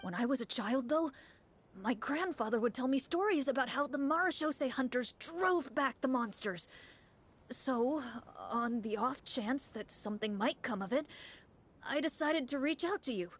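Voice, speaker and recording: A woman's voice: sits high, animated, normal speed, husky. A woman voicing an earnest, hopeful young townsperson in a game, speaking eagerly. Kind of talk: game voice acting.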